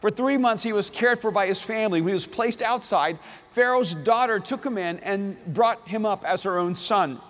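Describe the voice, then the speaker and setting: Man, preacher, native Spanish speaker from El Salvador, earnest storytelling, high voice, echoing hall. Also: normal speed, a bit bright. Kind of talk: sermon with scripture reading.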